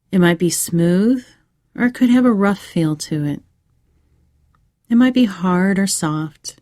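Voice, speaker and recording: A woman narrating a scripted guided meditation for children in a measured, soothing way with pauses. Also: on the low side, warm tone, no echo, studio quality.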